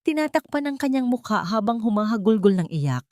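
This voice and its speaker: A woman narrating a scripted romance drama in an emotive, drawn-out storytelling manner. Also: on the high side, very animated, darkish tone, no echo, studio quality.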